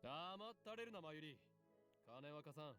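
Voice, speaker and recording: An actor playing a pompous, theatrical young self-styled mad scientist in an anime game. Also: male voice, fairly high pitch, expressive, quick pace, pushed and bright. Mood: lofty, scolding. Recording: game audio with music behind.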